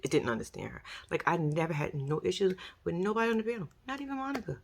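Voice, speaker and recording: A woman with a raspy voice and a New York accent, chatting casually on her vlog in a slangy, animated way. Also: lowish voice, fast. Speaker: YouTube commentary host.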